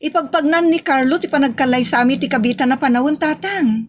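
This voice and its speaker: Woman acting as high-voiced, anxious young sweetheart. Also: fast, natural voice. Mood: respectful, happy. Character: wounded, proud, anxious young woman from humble family, in love. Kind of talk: radio drama acting.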